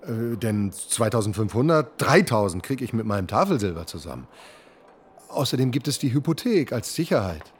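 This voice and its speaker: A man's voice: medium pitch, sing-song, normal speed, breathy edge. An actor in a German radio drama playing a desperate family man in debt, pleading and self-pitying. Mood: wheedling. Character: desperate, wheedling family man begging for a loan.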